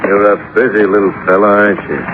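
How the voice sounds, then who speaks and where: An actor playing a big, gruff, threatening gangster henchman in an old radio crime drama. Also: fairly low pitch, flat delivery, quick pace, natural voice. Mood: gruff threat. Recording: muffled, noisy old radio recording.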